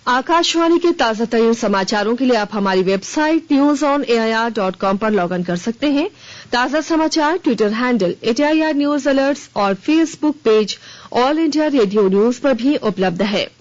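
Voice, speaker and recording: A woman reading a scripted regional radio news bulletin in a formal, measured manner. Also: fairly high pitch, animated, no echo. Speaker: news reader. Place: dry radio studio. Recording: dull, band-limited radio broadcast.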